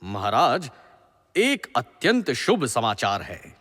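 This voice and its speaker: Guy doing eager royal adviser to a king, super expressive, slow formal speech, echoey audio drama. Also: medium pitch, full character voice. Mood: respectful, glad. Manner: formal, reverent, theatrical. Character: deferential, excitable royal brother advising the king. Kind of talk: mythological audio drama acting. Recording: long hall reverb.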